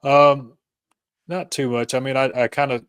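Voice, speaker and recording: A man's voice: medium pitch, level tone, unhurried, a bit bright, clean tone, no echo, clean studio sound. A man speaking as an interview guest, explaining his thoughts conversationally in a hesitant, rambling way. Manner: hesitant, rambling, peppered with "you know" and "kinda". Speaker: podcast guest, author. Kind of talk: conversational interview, explaining personal faith journey.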